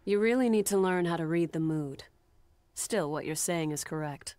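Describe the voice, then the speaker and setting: A woman playing a blunt, cool soldier in a video game, speaking frankly and critically in measured, formal words. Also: lowish voice, darkish tone, no room sound, lightly acted. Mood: stern, critical.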